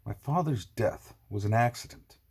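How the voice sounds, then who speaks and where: A man voicing a proud, defiant exiled heir in an audiobook, controlled and firm, with a husky voice. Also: fairly low pitch, normal speed, darkish tone. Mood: controlled anger.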